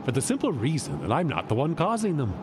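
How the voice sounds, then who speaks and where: An actor playing an amused, urbane Greek god posing as a stranger in an audio drama, drawing out words in a coy, theatrical way. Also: male voice, wildly swooping pitch. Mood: curious, urbane. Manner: theatrical, drawn-out, coy delivery. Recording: loud outdoor ambience and rumble.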